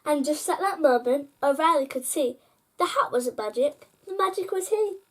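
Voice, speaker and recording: A high-voiced woman reads a bedtime picture book aloud. Her delivery is expressive and sing-song, following the rhymes, and she turns to speak straight to young listeners. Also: moderate pace. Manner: sing-song, addressing children directly. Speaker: children's storyteller.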